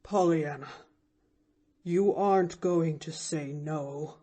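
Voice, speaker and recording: Gentle, wounded older man, slow and dark-toned, read by a woman. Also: breathy edge, exaggerated voice. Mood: grave hurt. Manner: low and grave, sinking to a hushed murmur. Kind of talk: audiobook character voice.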